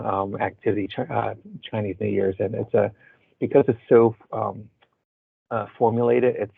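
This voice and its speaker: A man's voice: fairly low pitch, creaky, slightly rough, no echo. A man from Philadelphia, a guest at a roundtable, speaking hesitantly with frequent uhs. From United States (Philadelphia). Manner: flat, hesitant with many uhs. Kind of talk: casual roundtable sharing. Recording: tinny phone-like line, dry.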